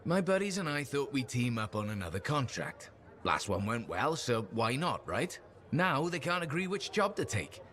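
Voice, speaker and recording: An easygoing pilot in a video game. His medium-pitched voice is upbeat and folksy. He talks like a chatty storyteller who loves adventure. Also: sing-song, normal speed, a bit bright. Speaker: video game character actor. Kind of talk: video game dialogue acting.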